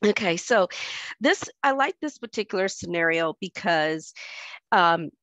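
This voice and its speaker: A woman with a head cold and a stuffy voice, presenting a workshop scenario over a video call in a measured way. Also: fairly low pitch, lively intonation, fairly bright tone, no echo. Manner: measured, reads the scenario aloud with pauses.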